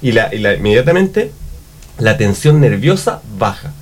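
A man's voice: lowish voice, sing-song, moderate pace, breathy edge. A man with a Chilean accent hosting a casual, reflective discussion, thinking aloud and rephrasing as he goes. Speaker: talk show host.

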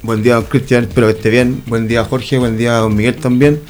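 A man's voice: mid-range voice, little pitch movement, quick pace, a little mellow, faint music behind. A Chilean man in his thirties, a hairdresser and urban artist, chatting as a guest in a radio studio interview, rambling and grateful. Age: 30s.

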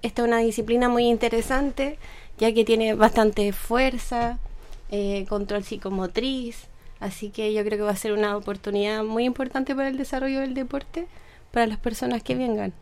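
A young woman with a Chilean Spanish accent, a sports instructor answering interview questions in a measured, friendly way. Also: a little high, fairly even intonation, darkish tone.

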